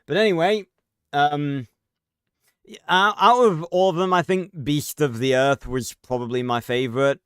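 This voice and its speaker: A man's voice: on the high side, moderate pace, fairly bright tone, smooth, no echo, studio quality. A man giving casual, rambling livestream reaction commentary with frequent swearing. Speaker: reaction streamer.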